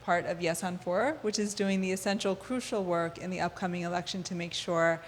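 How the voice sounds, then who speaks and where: A woman's voice: lowish voice, steady tone, a little fast, big-room sound, a little hiss or hum. Enthusiastic woman radio host. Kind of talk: radio interview. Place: community radio studio.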